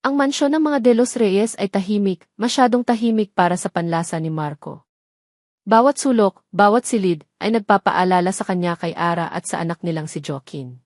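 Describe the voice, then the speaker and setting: A woman's voice: mid-range voice, normal speed, darkish tone, no room sound, studio quality. A woman reading a scripted story as the narrator of an audio drama.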